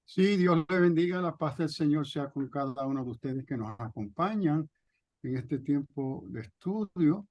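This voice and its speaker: A man in his seventies from New York, teaching theology in a deliberate, emphatic way with pauses and self-corrections, on dull audio. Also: a little high, lively intonation, a little mellow, dry recording. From New York, of Puerto Rican parents. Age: 70s. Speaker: theologian, pastor and guest lecturer. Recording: dull, band-limited audio.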